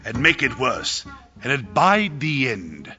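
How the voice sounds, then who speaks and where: A man voicing a stern, mocking spirit with booming, drawn-out scorn in an audio drama. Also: very animated. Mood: scornful. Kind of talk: theatrical audio drama acting. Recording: muffled lo-fi audio with music.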